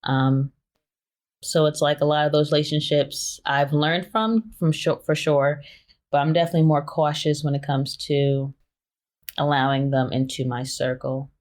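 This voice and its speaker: A woman's voice: low-pitched, fairly even intonation, moderate pace. Hesitant young woman, filler-heavy speech. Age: 20s. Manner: soft-spoken, many fillers, hesitant. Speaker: guest. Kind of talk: candid personal interview.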